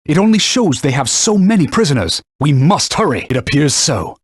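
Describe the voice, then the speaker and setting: A proud, stern talking sword from a fantasy video game. His male voice is husky and extremely animated in pitch, recorded close and dry. He instructs and scolds in pompous, old-fashioned knightly language. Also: moderate pace, no room sound. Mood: instructive, composed. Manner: pompous, formal archaic phrasing, scolding and instructive. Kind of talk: theatrical video game voice acting.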